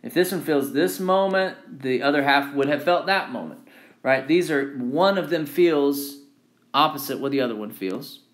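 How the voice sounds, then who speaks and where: A man's voice: fairly high pitch, expressive, normal speed. A man giving an informal engineering lecture, repeating key phrases for emphasis and often checking in with "right?". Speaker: engineering instructor. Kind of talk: informal lecture with handwritten notes.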